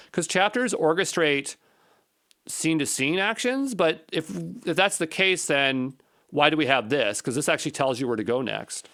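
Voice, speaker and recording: A man's voice: fairly high pitch, fairly bright tone. A man giving an unscripted coding walkthrough as an instructor, thinking aloud in a tentative, musing way and questioning himself. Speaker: programming instructor.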